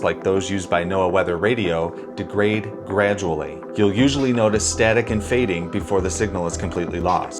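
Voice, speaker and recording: A man hosting a video, giving a casual, plainspoken product review and explaining things as he goes. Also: fairly low pitch. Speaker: video host.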